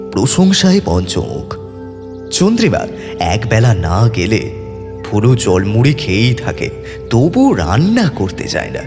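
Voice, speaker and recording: A man narrating an audio story in a dramatic, scripted style with expressive pauses. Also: medium pitch, long reverb tail. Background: clear background music.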